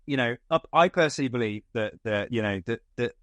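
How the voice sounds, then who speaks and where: A man's voice: mid-range voice, quick pace, a bit bright, dry recording. A man being interviewed as a guest who runs a distribution company, restarting and repeating words as he explains his views. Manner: stammers, repeats words, uses analogies. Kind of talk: interview, conversational.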